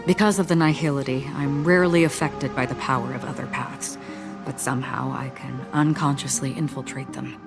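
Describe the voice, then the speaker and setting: Mysterious solemn warrior woman, deep low voice, game music underneath. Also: steady tone. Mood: solemn, resolute. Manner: grave, understated, deliberate. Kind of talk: video game voice acting. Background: game soundtrack music.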